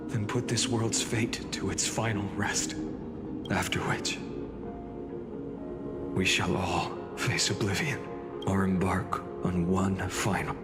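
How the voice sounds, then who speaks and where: An actor playing an earnest, resolute hero burdened by a sacred quest in a game, grave and deliberate. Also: male voice, deep voice, fairly even intonation, over loud music. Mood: grave hope. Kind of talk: dramatic game voice acting.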